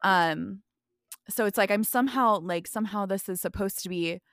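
A woman from the Midwest co-hosting a podcast, talking in a rambling, animated way full of likes. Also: medium pitch, normal speed, dry recording, studio quality. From American Midwest. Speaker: podcast co-host. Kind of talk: casual podcast chat.

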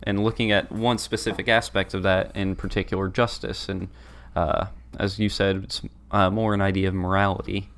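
A man co-hosting a discussion podcast, explaining ideas in a measured way with a few filler pauses. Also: sits low, flat delivery, a bit bright. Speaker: podcast co-host.

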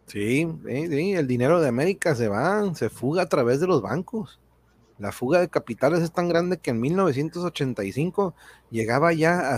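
A man's voice: medium pitch, darkish tone, breathy edge. A man playing an indignant, folksy explainer, emphatic and full of rhetorical questions, in an amateur radio drama reading. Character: indignant, folksy man explaining how foreign banks exploit people.

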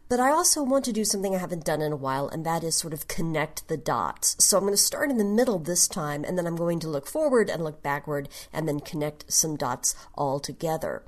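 A woman presenting a prepared podcast talk on history in a measured, explanatory manner. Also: on the low side. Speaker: genre history segment presenter.

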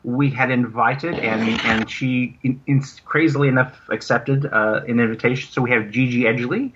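A man chatting casually as an interview guest, speaking in a halting, rambling way and repeating words. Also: medium pitch, fairly even intonation, highs cut off, noisy background. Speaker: guest, audio drama producer.

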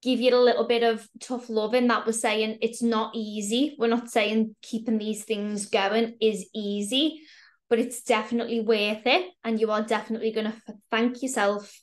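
A woman, a fitness coach co-hosting a podcast, explaining things in a steady, reassuring way. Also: fairly high pitch, moderate pace. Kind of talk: casual podcast chat.

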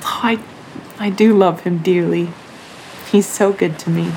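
A young woman playing a gentle, gravely ill nineteen-year-old sister in a period audio drama, soft-spoken, halting and earnest in her resignation. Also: lowish voice, steady tone, slight background noise, low-quality recording. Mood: tender.

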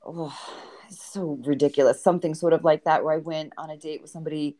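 A woman with a Boston accent, her voice sitting on the low side. She is a guest author telling her stories with energy and acts out each quoted line of dialogue. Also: fast. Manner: animated storyteller who acts out quoted dialogue. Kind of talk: podcast conversation, dating anecdotes.

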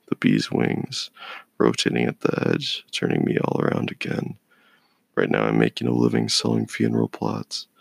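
A man reciting spoken-word poetry as a poet, in a brooding, measured, dramatic delivery. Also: lowish voice, gravelly, dry recording.

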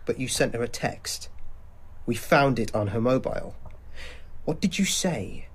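An actor playing a coolly insistent, sceptical police detective questioning a suspect in a radio drama. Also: male voice, medium pitch, expressive, a little slow, slightly rough. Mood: cool, pressing.

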